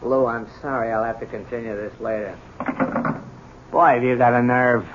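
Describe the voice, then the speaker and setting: An actor playing a lazy, insolent, spiteful business partner, brash, slangy and sarcastic, in a 1970s radio drama. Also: male voice, medium pitch, steady tone, normal speed, close to an everyday voice. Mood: brash, busy. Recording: dull, noisy old radio broadcast recording.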